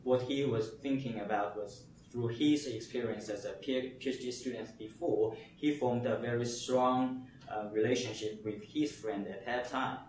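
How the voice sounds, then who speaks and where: A man's voice: medium pitch, fairly even intonation, a little mellow, slight background noise. A man from China, a doctoral student, answering interview questions with frequent fillers. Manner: halting, with fillers. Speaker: PhD student, conference coordinator. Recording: distant mic in an echoey room.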